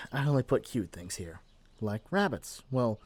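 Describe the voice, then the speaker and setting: A man's voice: mid-range voice, big pitch swings, a little slow. A man playing a lovestruck, possessive young male witch in an ASMR roleplay, chatty and gushing with flustered hesitations. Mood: excited, gushing. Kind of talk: ASMR roleplay addressed to the listener.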